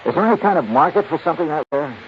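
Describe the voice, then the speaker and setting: Through the noise of a muffled 1950s radio recording comes a man playing an unflappable American investigator. His mid-pitched voice is wry and clipped, moving up and down freely in radio detective style. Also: expressive, rapid-fire, close to an everyday voice. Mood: wry, casual. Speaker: lead actor and narrator.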